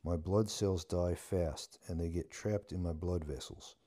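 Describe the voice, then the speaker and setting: A man's voice: low-pitched, unhurried, dark tone. A man voicing a candid, resigned young man who is guarded but caring, in a storybook reading. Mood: frank, resigned. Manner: frank, resigned, plain-spoken.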